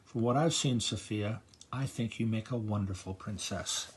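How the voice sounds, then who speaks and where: A grandfatherly man voicing a gentle, kindly old woman librarian in a storybook. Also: on the low side, normal speed, a little hiss or hum, lightly acted.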